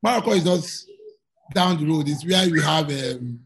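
A man's voice: on the high side, expressive, moderate pace, gravelly, slightly rough. A Nigerian man from Lagos, answering interview questions earnestly and often repeating words as he searches for them. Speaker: guest journalist.

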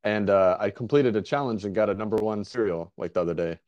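A man's voice: fairly low pitch, fast talker, a little mellow, no echo, highs cut off. Easygoing man hosting, dry sound. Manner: easygoing, rambling, many fillers and restarts. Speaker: podcast host. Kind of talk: casual interview.

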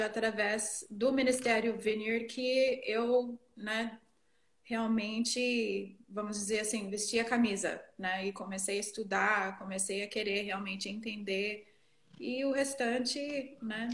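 A middle-aged woman from the northeastern United States, a native English speaker, telling her story reflectively and haltingly as an interview guest. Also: mid-range voice, a bit bright, reverberant. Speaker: interview guest, worship leader. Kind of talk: conversational personal storytelling in an interview.

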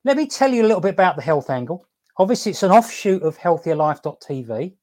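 A man hosting a casual live stream, upbeat and laughing often, talking haltingly with many fillers and restarts and asking "okay?" to check in. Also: sits high, big pitch swings, darkish tone. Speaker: host.

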